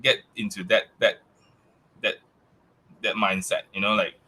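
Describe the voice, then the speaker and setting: A man's voice: lowish voice, little pitch movement, a little slow, pushed and bright, husky. A man with a Malaysian accent, English not his first language, talking casually as a podcast guest with many restarts and fillers. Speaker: guest, tech staff member.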